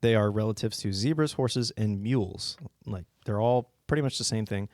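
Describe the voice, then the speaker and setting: A man's voice: fairly low pitch, normal speed, darkish tone, no echo. A man hosting a casual podcast chat who laughs often and swears offhandedly. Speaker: podcast host.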